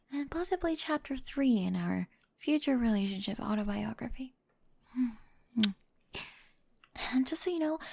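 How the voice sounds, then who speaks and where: A nerdy young girlfriend, played by a woman, intense and affectionate, speaks in a high voice with plenty of fry. She is awkward and precise, often slipping toward a whisper. It is a close, very dry ASMR roleplay recording. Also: a little mellow, no echo. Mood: playful, affectionate. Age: young adult. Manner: hesitant, precise, often dropping to a breathy whisper. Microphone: close microphone.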